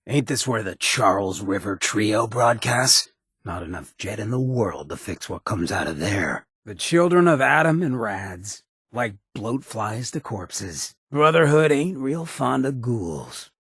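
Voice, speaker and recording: An actor playing a swaggering, sardonic male ghoul companion in a video game, with a raspy, gravelly voice and a wry, streetwise drawl. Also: mid-range voice, a bit bright, dry recording, studio quality, exaggerated voice. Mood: contemptuous. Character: swaggering, sardonic male ghoul raider-town rogue, irreverent loyal companion.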